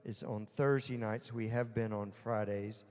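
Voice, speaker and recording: A man's voice: lowish voice, fairly even intonation, a little slow, a little mellow. A middle-aged man with a Southern US accent, chatting casually between songs as host of his livestream. He rambles, chuckles and loses his train of thought. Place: small indoor stage with curtains. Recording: roomy reverb with guitar music behind. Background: live guitar and backing music.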